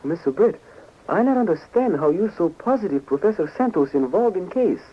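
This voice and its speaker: Loyal valet, clipped broken English, lively intonation, narrow 1940s radio recording. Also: male voice, sits high, brisk. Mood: curious.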